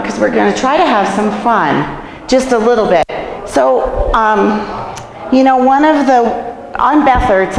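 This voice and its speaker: A hoarse woman with a cold, a nursing home administrator, giving a chatty staff training and asking the room questions. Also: medium pitch, a little hiss or hum. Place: meeting room in a care home. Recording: echoey room with long reverb.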